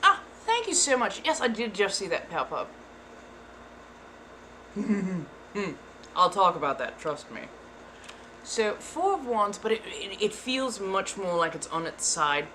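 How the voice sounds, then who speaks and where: A woman's voice: medium pitch, sing-song, a little slow, pauses often, sharp tone, a little hiss or hum, low-quality recording. A woman hosting live tarot card readings, speaking throughout in a theatrical put-on persona accent and calling her viewers darling. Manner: theatrical, calls listeners darling. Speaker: tarot reader and livestream host.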